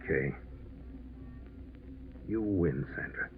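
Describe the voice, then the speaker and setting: Cornered, evasive man, animated delivery. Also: rumbling low, slow, heavily acted. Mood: bitter, defeated. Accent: American. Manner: hesitant, faltering, defensive. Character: nervous guilty businessman, cornered and evasive. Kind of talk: 1940s radio acting. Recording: muffled, noisy 1940s radio recording.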